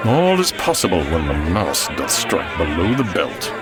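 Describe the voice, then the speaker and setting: An actor playing a gruff, hot-tempered, loyal outlaw henchman, blustering in old radio drama style. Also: sits low, wildly swooping pitch, moderate pace, loud music behind the voice, over a noisy crowd. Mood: blustering, angry. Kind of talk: old-time radio adventure acting, archaic English.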